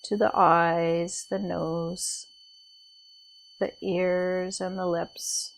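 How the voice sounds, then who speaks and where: Woman, meditation guide, soft soothing delivery, unhurried pauses, noticeable vocal fry, faint noise behind. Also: fairly low pitch, steady tone. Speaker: meditation teacher. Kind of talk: guided body scan meditation.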